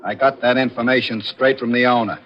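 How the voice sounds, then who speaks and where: An actor playing a gruff, suspicious, blunt police detective in a 1940s radio thriller. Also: male voice, medium pitch, fairly even intonation, a little fast, a little hiss or hum, natural voice. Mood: matter-of-fact. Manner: blunt, needling, matter-of-fact. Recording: muffled old radio recording.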